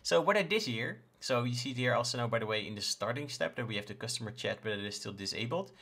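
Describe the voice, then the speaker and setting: A man in his thirties from the Netherlands, a native Dutch speaker with a Dutch accent, casually presenting a software tutorial. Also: mid-range voice, expressive, fast talker, slightly rough. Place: indoors in a home room, screen recording with webcam.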